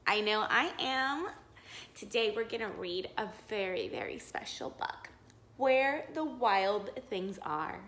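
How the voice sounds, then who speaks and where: A woman teacher reading a picture book aloud to young children, expressive and encouraging, pausing to explain and ask simple questions. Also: mid-range voice. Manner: encouraging, expressive, exaggerated wonder, asks the listener questions.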